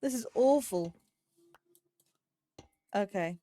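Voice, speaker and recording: A woman's voice: medium pitch, lively intonation, dark tone, no echo. Chatty woman narrating her block building. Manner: chatty, talks to herself while building, quick yelps when startled. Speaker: let's play host. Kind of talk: casual gameplay commentary.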